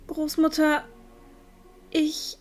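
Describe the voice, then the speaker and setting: A woman acts out a teenage village girl in a fantasy audio drama. Her voice is extremely high and youthful, earnest and a little unsure of herself, over faint background music. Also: monotone, extremely slow, darkish tone. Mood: hesitant, heartfelt.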